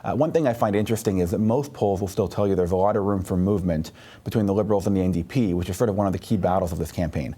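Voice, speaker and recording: A low-pitched man speaking very fast as he analyses the polls, hedging often and filling pauses with "uh". His tone is dark and mellow. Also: husky. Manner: rapid, hedging, many fillers. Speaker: political columnist. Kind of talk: analytical interview answers.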